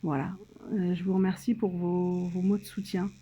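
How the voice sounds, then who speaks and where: A French woman speaking casually and hesitantly, as in a personal video diary, pausing and trailing off between phrases. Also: on the low side, little pitch movement, mellow, slightly rough. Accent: French, from France. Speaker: vlogger documenting own surgery stay. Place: hospital room.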